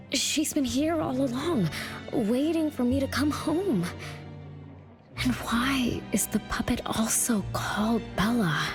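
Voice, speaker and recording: An actress playing a timid, bewildered young girl in a video game, earnest, emotional and hesitant. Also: a little high. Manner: hesitant, halting.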